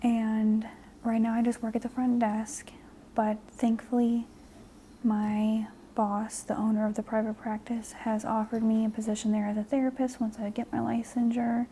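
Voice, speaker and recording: A young woman in her twenties, a guest, talking in a soft half-whisper with many fillers. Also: fairly high pitch, little pitch movement, moderate pace, a little hiss or hum, poor audio. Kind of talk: soft-spoken ASMR chat.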